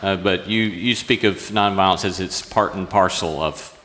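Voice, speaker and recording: A male host conducts a live interview in a low voice with a bright, forceful edge. His words ring with the long reverb of a hall. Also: normal speed.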